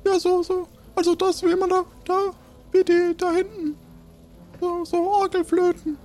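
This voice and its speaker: Extremely high, squeaky naive shopkeeper. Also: male voice, level tone, a little mellow. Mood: helpful, halting. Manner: halting, repetitive, blunt. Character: odd, naive sibling minding a smithy shop. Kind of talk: improvised audio adventure roleplay.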